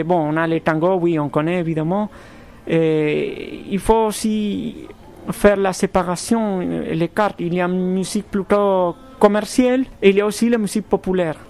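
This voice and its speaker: A man from Argentina with a fairly high voice speaks as a composer in an interview. He picks his words slowly, with false starts and repeated words. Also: a little mellow. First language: Spanish. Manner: thoughtful, halting, many restarts. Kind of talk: radio interview.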